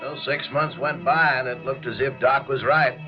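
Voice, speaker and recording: An actor playing a plain-spoken, folksy and wry assistant who also narrates a 1950s radio drama. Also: male voice, medium pitch, steady tone, moderate pace, natural voice. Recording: dull vintage radio, music behind.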